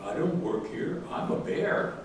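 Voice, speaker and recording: A man voicing a sleepy, mild male bear who patiently insists on what he is, talking softly. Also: unhurried, crowd noise, lightly acted. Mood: insistent. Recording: echoey room from far off, faint hiss.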